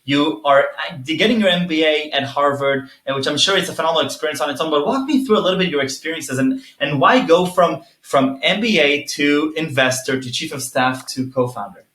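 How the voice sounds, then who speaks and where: Male host, enthusiastic, stumbles into questions, bright tone. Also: fairly high pitch, a little fast, hardly any pauses, roomy sound. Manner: enthusiastic, repeats words when starting. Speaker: podcast host. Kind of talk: interview hosting.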